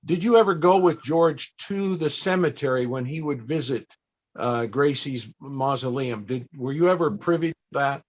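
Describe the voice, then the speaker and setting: A man hosting a casual panel interview, asking questions and sharing anecdotes in a somewhat halting way. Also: medium pitch.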